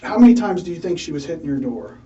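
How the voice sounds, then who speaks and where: A male detective questions slowly and hesitantly, circling back over his own words. His voice is fairly high but mellow. He sounds distant and muffled in an echoey interrogation room. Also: sing-song. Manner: hesitant, repeats himself, many fillers. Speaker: police detective. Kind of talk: police interrogation.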